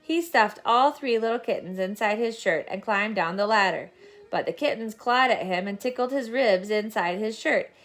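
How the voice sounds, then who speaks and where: A woman reading a children's storybook aloud as scripted, expressive narration. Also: medium pitch. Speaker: storybook reader.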